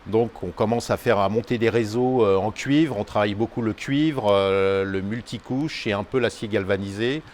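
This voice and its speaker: A male vocational teacher speaks in a lowish voice, stopping often on filler sounds. Faint room ambience sits behind him. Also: normal speed, few pauses, a little mellow, slight background noise. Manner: hesitant, many fillers. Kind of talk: school video interview.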